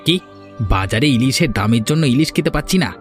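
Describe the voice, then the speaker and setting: A man's voice: mid-range voice, expressive, fast talker, soft background music, close to an everyday voice. A man voicing an envious, conniving village husband in a Bengali cartoon.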